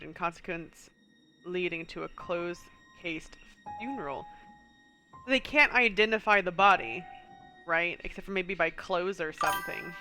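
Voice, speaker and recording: A woman's voice: on the low side, sharp tone. A woman with a stuffy nose streaming a puzzle game, thinking aloud and reading in-game text in a casual way. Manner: muses aloud, reads in-game text, chuckles often. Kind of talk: casual gameplay commentary.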